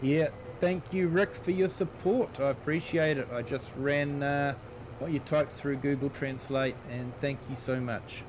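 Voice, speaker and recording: Slow-talking New Zealand man streaming. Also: mid-range voice, noisy background. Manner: relaxed, chatty, greets viewers by name. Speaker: gaming streamer. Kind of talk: casual live-stream chat while playing.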